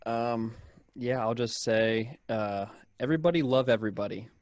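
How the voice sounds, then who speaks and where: A man's voice: mid-range voice, steady tone, moderate pace, a little mellow, no echo. A man, a musician guest, rambling and jokey in a casual chat over a video call mic.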